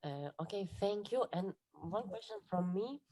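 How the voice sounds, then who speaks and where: A woman's voice: medium pitch, very animated, normal speed, warm tone. A woman from Lithuania, a native Lithuanian speaker, moderates an online Q&A, asking questions hesitantly and searching for her words. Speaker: event moderator.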